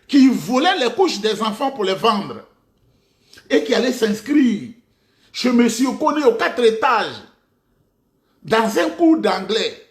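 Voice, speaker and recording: A man's voice: very high-pitched, sing-song, slow, pauses often. A middle-aged man from Côte d'Ivoire with an Ivorian French accent, delivering a mocking, rhetorical political monologue with sudden outbursts. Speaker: political commentator. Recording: echoey room.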